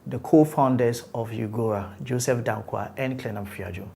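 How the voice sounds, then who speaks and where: A man's voice: medium pitch, normal speed, creaky, over loud music. Chatty male interview host. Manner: friendly, chatty, with short affirmations and restarts. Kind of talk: casual interview.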